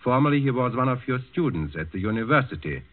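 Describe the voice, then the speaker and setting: A man's voice: mid-range voice, sing-song, fast, close to an everyday voice. A man acting a stern, cold Nazi officer who questions formally and imperiously in a 1940s radio drama. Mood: stern, probing. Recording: muffled 1940s radio broadcast recording.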